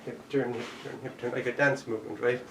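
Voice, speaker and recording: A man's voice: medium pitch, level tone, a little fast, creaky. A man teaching a jujitsu class online, talking through each move as he demonstrates it and calling out the steps. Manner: demonstrating moves while talking, counting out steps. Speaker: jujitsu instructor. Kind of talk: live online martial arts class. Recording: echoey room, distant mic, faint background noise.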